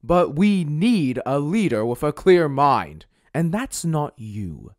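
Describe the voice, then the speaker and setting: Man playing a condescending, high-pitched werewolf rival. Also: sing-song, no echo, studio quality. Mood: smugly reasonable. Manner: measured, condescending. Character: scheming, smooth-talking male werewolf pack rival. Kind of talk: dramatic roleplay voice acting.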